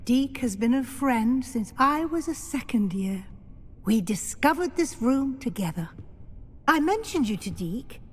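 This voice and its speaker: A woman playing a kindly, brisk middle-aged magic professor in a video game, husky, with an instructive, encouraging manner. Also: high voice, lively intonation, heavily acted. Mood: warm, explaining. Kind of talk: video game character acting.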